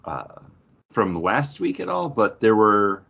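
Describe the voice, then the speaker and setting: A man's voice: fairly low pitch, expressive, slow pace, fairly bright tone, breathy edge. Man chatting casually, slightly dull audio. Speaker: co-host of a comic book podcast. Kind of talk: casual chat about comics.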